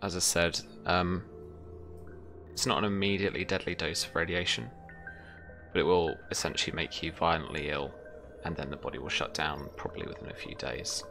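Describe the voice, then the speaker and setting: Deep-voiced young man running a tabletop game. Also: steady tone, gravelly. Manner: halting, thoughtful phrasing. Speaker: game master. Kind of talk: unscripted tabletop game narration. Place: indoor room, online video call. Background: faint music.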